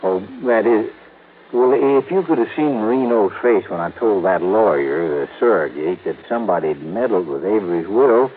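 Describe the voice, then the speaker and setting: Old folksy prospector played by a man, low lively voice, tinny vintage radio with faint hiss. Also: moderate pace, close to an everyday voice. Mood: insistent. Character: boastful old male desert prospector. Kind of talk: 1950s radio drama acting.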